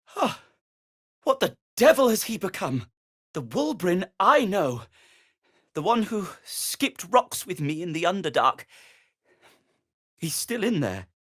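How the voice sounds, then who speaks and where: An actor playing an earnest, fussy, melancholy gnome inventor in a video game, with a hesitant, wordy and old-fashioned polite way of talking. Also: male voice, high-pitched, big pitch swings, takes it slowly, pauses often, a bit bright, husky, dry recording, studio quality, full character voice. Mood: pleading, troubled. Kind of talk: video game character dialogue.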